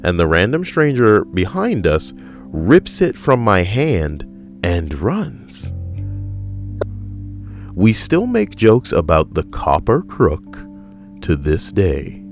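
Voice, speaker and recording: An extremely deep male voice, dry and deadpan, reading odd stories aloud with rambling jokes between them. Faint music plays softly underneath him. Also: slow pace, long pauses. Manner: deadpan, wry, rambling asides. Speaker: podcast co-host reading internet stories. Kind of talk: casual joking commentary.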